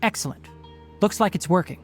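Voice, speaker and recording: A man voicing a measured, analytical young forest ranger in a video game. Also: sits high, lively intonation, soft background music. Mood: focused.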